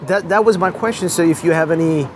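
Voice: medium pitch, fast talker. Eager interviewer, crowd behind. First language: not German. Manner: enthusiastic, affirming, repeats words. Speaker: interviewer, host. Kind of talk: casual interview conversation.

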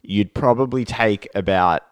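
Man, hoarse, relaxed co-host, lowish voice, dry close sound. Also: unhurried, no room sound. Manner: chatty, laughs easily. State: hoarse, recovering voice. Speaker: podcast co-host. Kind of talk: casual chat.

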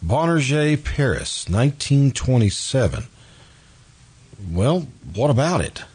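A man playing a troubled, guilt-haunted murderer in an old-time radio drama, halting and intense. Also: medium pitch, sing-song, darkish tone, slightly rough, heavily acted. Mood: startled, skeptical.

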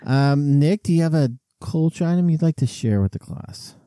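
Mellow-voiced man joking around. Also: a little high, expressive, moderate pace, smooth, no echo, studio quality. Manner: joking, rambling restarts. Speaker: podcast host. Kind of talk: casual chat.

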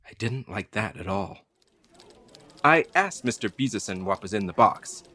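A man's voice: fairly low pitch, animated, normal speed, pushed and bright. An actor playing a hapless, anxious delivery carrier who tells his creepy tale with wry, theatrical drama in an audio play. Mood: uneasy, suspenseful. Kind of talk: dramatic first-person narration in an audio play.

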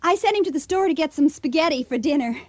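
Radio drama: sweet, evasive wife, very lively high voice. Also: female voice, fast, dry recording. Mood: nervously cheerful.

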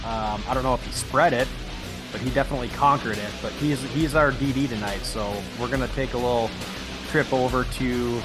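A man's voice: medium pitch, fairly quick, over music. A man hosting a podcast, giving hyped, joking introductions in casual chat. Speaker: podcast host.

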